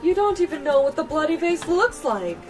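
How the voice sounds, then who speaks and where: A woman's voice: sits high, very animated, fairly quick, darkish tone, faint music behind. A woman voicing a brusque, cynical female reporter with a curt, scornful manner.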